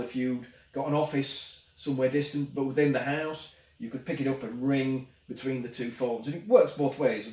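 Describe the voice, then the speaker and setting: A middle-aged man talks through a demonstration in a plain, explanatory style at a medium pitch. He sounds unscripted and casual. The recording is roomy and echoey, picked up by a camera a few metres away in a small indoor workspace. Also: a little mellow. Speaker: presenter demonstrating a product. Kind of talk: casual unscripted product demo. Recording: roomy echo, distant camera microphone.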